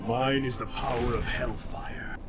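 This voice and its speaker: A man playing a grim, vengeful undead ninja warrior in a video game, solemn and formal, with a harsh breathy growl. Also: medium pitch, fairly even intonation, a little slow, close to an everyday voice. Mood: menacing. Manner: solemn, formal, declamatory threats. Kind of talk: video game fighting dialogue. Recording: dramatic music behind the voice.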